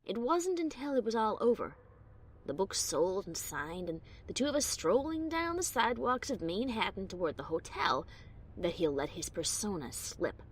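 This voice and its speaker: Adventurer pony narrator, played by a woman: female, lively varied pitch, wry bravado with nerves underneath, first-person storybook drama. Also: normal speed, a little hiss or hum, natural voice, rough recording. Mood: reflective. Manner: expressive, breezy bravado over nerves.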